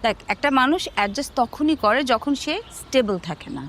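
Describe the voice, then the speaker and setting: Independent woman, played by an actress, drama dialogue, very fast, animated intonation. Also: on the high side, a little hiss or hum. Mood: assertive. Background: music.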